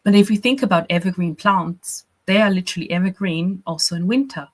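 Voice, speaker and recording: A chatty, encouraging woman from Austria coaches a live workshop, and her voice sits a little low. She keeps checking in with her listeners as she explains her ideas. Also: fairly quick. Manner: chatty and encouraging, frequent "right?" and "you know". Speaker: business coach running a workshop. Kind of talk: casual live workshop teaching.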